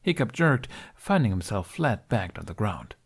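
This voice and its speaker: A man's voice: on the low side, big pitch swings, normal speed, breathy edge. A man narrating an audiobook, reading scripted fiction as the storyteller.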